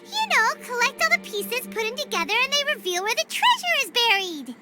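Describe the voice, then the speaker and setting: A tiny floating companion girl, bouncy and chatty, talking about herself in the third person. Her voice sits very high and swoops up and down, over swelling game music. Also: sing-song, brisk. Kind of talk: video game cutscene acting.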